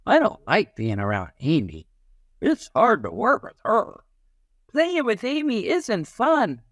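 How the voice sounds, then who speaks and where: Man putting on high-pitched earnest storybook schoolkids. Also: wildly swooping pitch, takes it slowly, fairly bright tone, no echo, studio quality, exaggerated voice. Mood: unhappy, complaining. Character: schoolchildren in a picture book, plainspoken and earnest.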